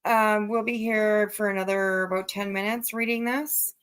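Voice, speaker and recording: A woman's voice: mid-range voice, fairly even intonation, normal speed, a bit bright, clear, resonant tone. A woman hosting a casual livestream commentary, talking bluntly and emphatically, repeating phrases for emphasis and swearing casually. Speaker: livestream host.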